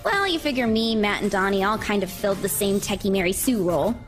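An actress playing a sarcastic hacker sidekick in a video game. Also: female voice, mid-range voice, animated, fast talker, faint music behind, lightly acted. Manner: dry, sarcastic.

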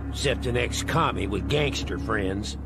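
Slow gravelly wisecracker. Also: male voice, fairly low pitch, music in the background. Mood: sardonic, amused. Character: folksy, wisecracking male agent, drawling and sardonic. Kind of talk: video game cutscene acting.

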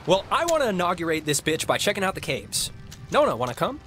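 A man playing a chatty, cheeky, excitable teenage boy in a naturalistic video game. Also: high-pitched, quick pace, faint music behind, full character voice. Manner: playful, jokey, excitable.

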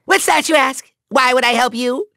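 An actor playing a small, pompous, manic evil overlord in a video game, declaiming grandly in a nasal, gravelly voice. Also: male voice, very high voice, pushed and bright, no room sound, clean studio sound, heavily acted. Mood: gloating, smug. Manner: grandiose, theatrical, drawn-out declaiming. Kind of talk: video game villain voice acting.

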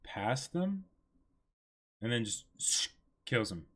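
Young man, mid-pitched voice, casual co-host chat, brief understated reactions. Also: very animated, takes it slowly. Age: 20s. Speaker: co-host of a reading stream.

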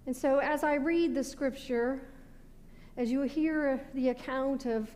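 A middle-aged American woman, a pastor, giving a sermon with measured, deliberate phrasing that falls away at sentence ends. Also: high voice, darkish tone. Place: indoor stone-walled church sanctuary at a pulpit. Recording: reverberant church hall with background noise.

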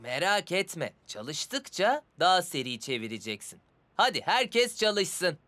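An actor playing an enthusiastic young circus magician in a cartoon dub, upbeat and persuasive like a pushy coach. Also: male voice, sits high, sing-song, takes it slowly, sharp tone, soft background music, exaggerated voice. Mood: encouraging. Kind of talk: dubbed children's cartoon acting.

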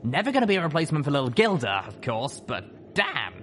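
A man's voice: a little high, sing-song, brisk, a bit bright, slight background noise, pitched above the performer's own voice. Man as a brash, boastful griffin mercenary. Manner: proud, swaggering.

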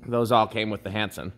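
A man's voice: lowish voice, fairly bright tone. A man giving a casual, unscripted tour, explaining technical details as he goes. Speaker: tour guide showing his fan collection. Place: inside a wooden barn. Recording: handheld recording with background noise.

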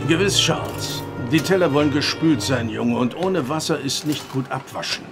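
An actor playing a stern, pious farmer father in a radio drama, gravelly and formal in speech. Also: mid-range voice, normal speed, over music, close to an everyday voice. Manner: formal, commanding.

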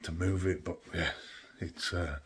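Middle-aged vlogger, slightly low and throaty, casual walkthrough at home. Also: male voice, steady tone, unhurried, a bit bright, slight background noise. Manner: chatty, matter-of-fact walkthrough. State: throaty from a chest infection. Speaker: van life vlogger. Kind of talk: casual how-to vlog. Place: home kitchen indoors.

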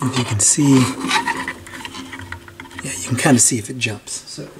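A man's voice: medium pitch, moderate pace. Man thinking aloud over noisy workbench. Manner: informal, thinking aloud while working. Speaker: host of a repair channel. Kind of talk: casual hands-on repair walkthrough. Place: indoors at a cluttered workbench. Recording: steady clear background noise with clicks.

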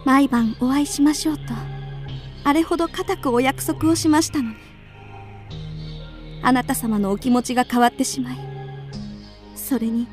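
Lady playing wistful ghost girl, high voice, super polite old-style speech, radio drama. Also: normal speed, pauses often, faint music behind, natural voice. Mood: plaintive. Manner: polite, refined old-fashioned feminine speech. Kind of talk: Japanese radio drama acting.

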